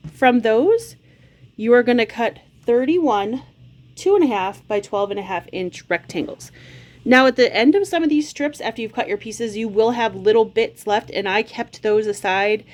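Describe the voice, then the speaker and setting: A woman's voice: fairly high pitch, a little mellow. A woman in her forties with a slight cold hosts a casual sewing tutorial, explaining each step in a friendly, chatty way from her home sewing room. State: slight cold, occasional cough. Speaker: quilting channel host.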